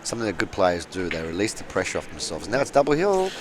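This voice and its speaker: A middle-aged Australian man giving casual, excitable and opinionated live commentary on a pool match. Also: low voice, fast. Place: pool venue, close to the players. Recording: very noisy live venue recording.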